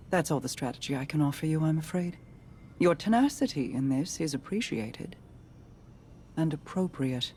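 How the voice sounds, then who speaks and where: A woman playing a solemn, guarded noblewoman and arcane strategist in a video game, formal, deliberate and grave. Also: low-pitched, dark tone, slight background noise.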